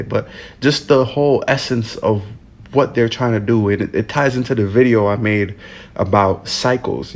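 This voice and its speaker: A man in a casual, conversational monologue who keeps checking in with the listener. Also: medium pitch. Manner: conversational, repeats "you feel what I'm saying?".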